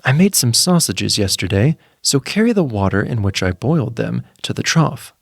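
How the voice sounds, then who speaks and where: Man playing a shrewd, calm old grandmother. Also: medium pitch, moderate pace, gravelly, dry recording. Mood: calm, instructing. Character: aged, shrewd, practical grandmother.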